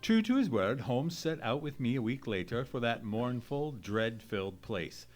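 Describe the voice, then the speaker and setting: An actor playing a loyal, sceptical Victorian man of science in an old-time radio mystery play, speaking in an earnest, measured, formal manner. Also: medium pitch, very animated, over loud music, close to an everyday voice. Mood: solemn narrating. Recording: noisy live theatre stage recording.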